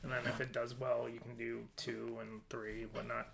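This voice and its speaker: A man's voice: mid-range voice, little pitch movement, moderate pace, gravelly, noisy background. A man hosting a casual podcast discussion, talking informally and a little hesitantly as he thinks aloud. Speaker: podcast host leading a discussion. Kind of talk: casual chat.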